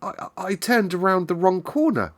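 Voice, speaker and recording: A man's voice: sits high, lively intonation, a little fast, pitched above the performer's own voice. A man voicing a stubborn young storybook girl, speaking earnestly and a little hesitantly. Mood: flustered.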